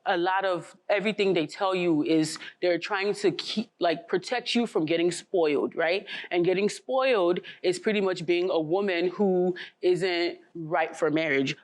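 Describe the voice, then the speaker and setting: A woman who is a talk show guest and works in higher education, speaking thoughtfully and checking in with the listener. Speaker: panel guest. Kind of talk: casual discussion.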